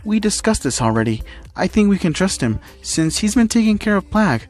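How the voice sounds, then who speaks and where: A man's voice: sits high, big pitch swings, fairly quick, a little mellow, soft background music, voice pushed up. A man voicing a small, insistent and cheerful female magical bug creature in a story.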